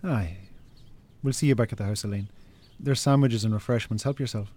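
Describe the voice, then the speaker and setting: An actor playing a practical, supportive Irish farmer's brother in a naturalistic radio drama. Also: male voice, fairly low pitch, a little fast, a little mellow, lightly acted. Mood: calm, reassuring.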